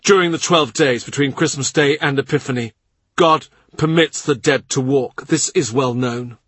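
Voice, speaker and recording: A troubled, brooding king, voiced by a man in an audiobook, carried higher and fuller than the narration. The tone is husky, set close in a dry booth. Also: moderate pace, a bit bright, dry recording. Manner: brooding, troubled, speaks at length. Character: weary anxious middle-aged male king.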